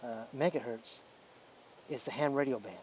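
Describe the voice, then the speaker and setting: A man's voice: medium pitch, moderate pace, darkish tone, a little hiss or hum. A man presenting as a radio hobbyist, giving casual hands-on demonstration commentary.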